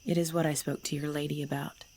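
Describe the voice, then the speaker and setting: A woman playing a centuries-old vampire mistress in an ASMR audio drama, speaking formally in a breathy, measured voice. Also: low-pitched, little pitch movement, a little mellow. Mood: grave, resolute. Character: centuries-old vampire mistress, female, cold ruler turned protective.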